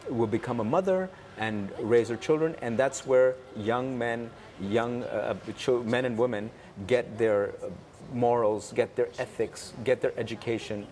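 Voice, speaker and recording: A man from Pakistan answers interview questions at a middling pitch. He speaks haltingly, pausing and repeating himself, with faint ambient noise behind him. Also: a little mellow. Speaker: interview guest, cartoon producer. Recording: faint background room noise.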